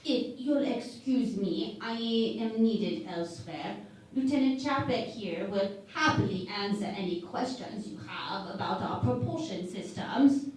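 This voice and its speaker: A cheeky starship medical officer played by a woman in a sci-fi parody radio play. She talks slowly and breezily, with suggestive reassurance, in a very echoey room away from the mic. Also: mid-range voice, lively intonation, darkish tone, lightly acted. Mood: smooth, official. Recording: very echoey room, distant mic, faint noise.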